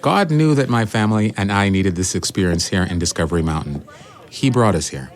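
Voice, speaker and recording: A man's voice: deep voice, expressive, fairly quick. An actor in a kids' audio drama playing a grateful, forgiving, devout family man, earnest and heartfelt.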